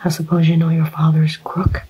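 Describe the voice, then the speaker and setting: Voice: medium pitch, completely flat delivery, normal speed, dark tone, low-quality recording. A reader whispering a sneering cold husband. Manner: gruff, sneering.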